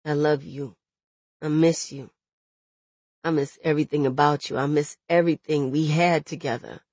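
A woman's voice: on the low side, slow pace, no room sound. A woman voicing a desperate young man in jail in an audiobook, angry and tender by turns, with urgent, streetwise phrasing. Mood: tender, apologetic. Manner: urgent, profane, vernacular phrasing. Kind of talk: dramatic audiobook character dialogue.

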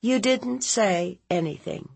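A woman's voice: medium pitch, lively intonation, slow, gravelly, a little mellow, slightly rough, pitched above the performer's own voice, exaggerated voice. A woman voicing a fierce, blunt, protective aunt in a story, scolding in an emphatic, folksy, interrogating way. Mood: stern questioning.

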